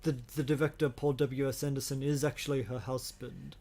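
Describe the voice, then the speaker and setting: A man co-hosting a podcast in casual chat, with a hesitant, halting delivery that often repeats phrases. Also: medium pitch, little pitch movement, a little mellow. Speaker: podcast co-host. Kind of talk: casual chat about video game movies.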